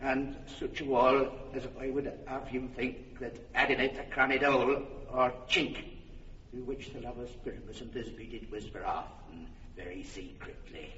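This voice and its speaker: A man's voice: steady tone, fairly bright tone, close to an everyday voice. Man playing solemn, plodding amateur player, distant and echoey. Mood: solemnly earnest. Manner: ponderous, over-deliberate declamation. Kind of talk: classical comic stage verse acting. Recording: distant, muffled echoey hall with rumble.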